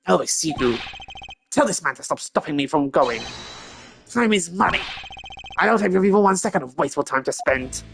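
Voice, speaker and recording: A man's voice: on the high side, expressive, moderate pace, vocal fry, long pauses, fairly bright tone, slight background noise, pitched above the performer's own voice. A man voicing a pompous, impatient male art dealer who speaks stilted, broken English. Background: game music.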